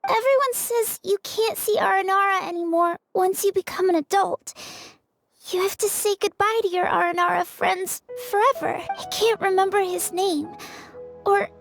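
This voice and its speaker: A sickly little girl from a video game. The voice is tiny and very high, moving slowly and haltingly with wistful, plaintive earnestness. Music plays faintly behind. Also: creaky, heavily acted. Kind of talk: English video game voice acting. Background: game background music.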